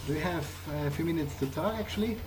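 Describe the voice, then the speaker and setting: Male gamer, role-playing aloud in a playthrough: tentative, chatty questions, with clear music behind the voice. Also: a little high, animated, quick pace. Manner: hesitant, questioning, conversational. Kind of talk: in-character improvised replies in a game playthrough. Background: game music.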